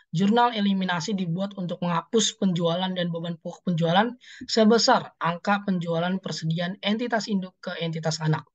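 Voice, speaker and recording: A woman's voice: fairly low pitch, lo-fi sound. A young woman reading formally from prepared material as a student presenter. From Indonesia. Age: young adult. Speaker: university accounting student presenting. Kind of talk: scripted group presentation, formal reading aloud.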